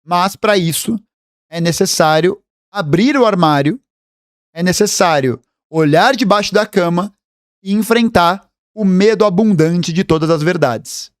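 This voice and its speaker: A man's voice: high-pitched, dry recording, clean studio sound. A man with a Brazilian accent giving political commentary in an argumentative, lecturing way. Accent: Brazilian Portuguese. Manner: argumentative, fast lecturing delivery. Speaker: host commenting on political video clips.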